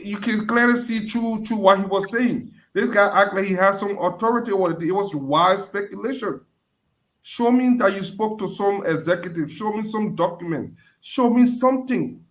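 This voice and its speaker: A man's voice: a little high, a bit bright, low-quality recording. A man calling into a political talk show, speaking emphatically and insistently. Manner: emphatic, builds to insistent demands. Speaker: caller. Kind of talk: heated call-in debate.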